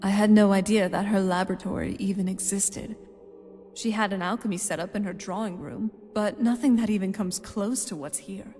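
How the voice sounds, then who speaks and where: A woman's voice: mid-range voice, fairly even intonation, moderate pace, mellow, close to an everyday voice. Woman acting a young vampire woman in echoing hall. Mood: thoughtful, focused. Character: young female vampire, curious, searching for her mother. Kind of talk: video game character dialogue. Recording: long hall reverb, music behind.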